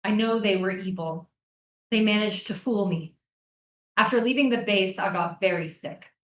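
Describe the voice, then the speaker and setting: A woman's voice: medium pitch, moderate pace, a bit bright, close to an everyday voice. A woman in a staged play reading, acting a haunted, devoted mother. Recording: echoey room.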